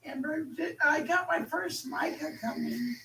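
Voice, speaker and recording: Distant, echoey, gravelly-voiced woman. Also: high-pitched, measured pace, a bit bright. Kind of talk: casual chat. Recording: distant echoey voice, faint noise.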